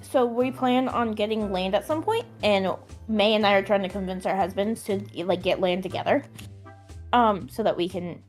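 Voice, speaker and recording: A woman's voice: medium pitch, normal speed, faint music behind. A woman chatting casually while playing a game, often reading its text aloud. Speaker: guest gamer. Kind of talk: casual chat while gaming.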